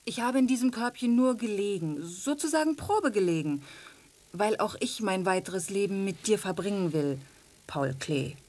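Actress as a husky, affectionate she-cat. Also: medium pitch, expressive, normal speed, darkish tone, lightly acted. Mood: pleading. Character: affectionate young female cat dreaming of town life. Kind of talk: radio drama acting.

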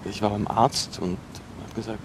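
An actor playing a defensive, awkward young dropout in a naturalistic radio drama, halting and repeating himself as he talks. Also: lowish voice, level tone, natural voice. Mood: insistent, confessional. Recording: noisy location recording with ambient hum.

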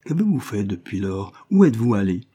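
Un homme jouant une jeune bonne ferme. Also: male voice, on the low side, big pitch swings, dark tone, breathy edge. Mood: earnest. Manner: firm, measured questioning. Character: pale, firm young nursemaid.

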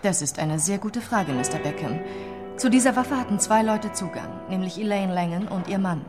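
Young female detective played by an actress, crime radio play: medium pitch, courteous, controlled, keeps pressing. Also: moderate pace, over music, natural voice. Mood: calm, probing. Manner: polite, controlled, insistent questioning. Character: composed, persistent young female police detective. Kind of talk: radio crime drama acting.

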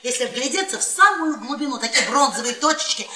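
A woman's voice: high-pitched, animated, fast, pushed and bright. A woman playing a brash, taunting middle-aged wife in a theatrical radio play. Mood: goading, boastful. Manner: sarcastic, goading, theatrical. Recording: echoey stage sound, distant microphone.

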